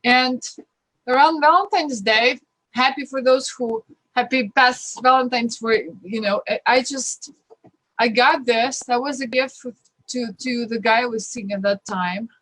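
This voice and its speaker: A woman from Ukraine with a Ukrainian accent, a guest talking earnestly and warmly about her life, rambling and restarting her sentences. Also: a little high, unhurried, no room sound, rough recording. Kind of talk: casual interview talk, personal advice.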